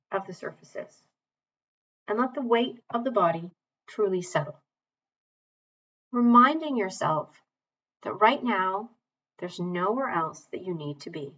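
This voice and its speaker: A woman teaching mindfulness, leading a guided body scan in a calm, measured, gentle way with long pauses. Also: mid-range voice, muffled recording.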